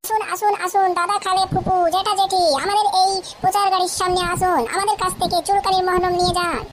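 A woman's voice: very high-pitched, level tone, quick pace, slight background noise. A woman voicing a cheeky, mischievous village huckster in a comedy cartoon, with boisterous street-seller patter. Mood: hawking patter. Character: mischievous cheeky village sidekick hawking cheap ointment. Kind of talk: comic cartoon dialogue.

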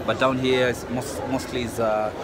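A man's voice: mid-range voice, flat delivery, moderate pace. A young man from Kenya talking casually to his phone camera as a travel vlogger while walking through a market, with many fillers. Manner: chatty, talks straight to camera, many fillers. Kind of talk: casual walking vlog narration. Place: busy indoor market and city street. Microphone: phone held at arm's length. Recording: noisy market crowd with loud music. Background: crowd chatter and music.

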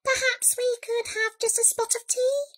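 A woman voicing a small, polite male storybook mouse who is genteel, apologetic and gently persistent. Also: very high voice, little pitch movement, moderate pace, pitched above the performer's own voice. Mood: coaxing. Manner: genteel, apologetic, softly coaxing. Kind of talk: storybook character voice.

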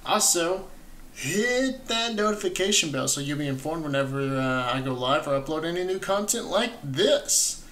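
A young adult man hosting a gaming reaction, talking casually and enthusiastically in halting phrases, close to the mic. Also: on the high side, animated, a bit bright, a little hiss or hum. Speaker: gaming channel host. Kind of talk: reaction commentary. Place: small indoor streaming room. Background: trailer music at times.

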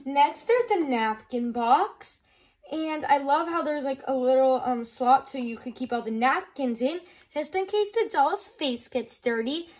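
A woman's voice: high voice, expressive, measured pace, darkish tone. A young woman giving a casual, enthusiastic toy review in simple words, with plenty of "like" as filler. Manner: enthusiastic, simple explaining with frequent "like" and "I love". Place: small room at home.

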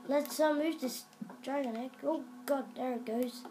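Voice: sits high, fairly even intonation, unhurried, warm tone, a little hiss or hum. A child improvising role-play commentary while playing a video game, hesitant and coaxing, recorded in a living room in front of a TV. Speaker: player making a game video. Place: living room, filming a TV screen.

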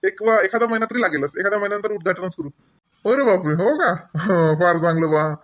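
A man's voice: sits high, expressive, brisk, a bit bright. A man voicing chatty male relatives in a family comedy cartoon, with colloquial small talk and a Varhadi Marathi accent from Vidarbha. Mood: chatty, polite.

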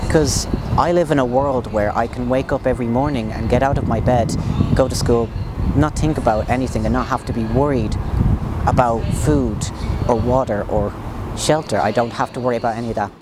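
A teenage Irish schoolboy speaking thoughtfully in an interview. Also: male voice, mid-range voice, steady tone, fairly quick, very noisy. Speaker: secondary school student.

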